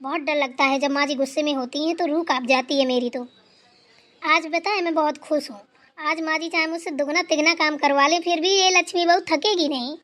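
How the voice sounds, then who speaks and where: A woman's voice: sits high, steady tone, a bit bright. Woman voicing a eager young daughter-in-law. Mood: earnest. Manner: eager, fast, pleading.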